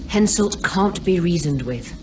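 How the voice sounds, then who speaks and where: An actress playing a cool, scheming sorceress in a fantasy video game, measured and dryly sardonic. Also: female voice, animated, background noise. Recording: distant voice under loud rumbling ambience.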